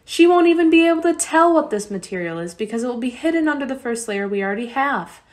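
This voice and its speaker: A woman's voice: sits high, animated, fast. A woman playing an earnest, sentimental young refugee girl in a radio play.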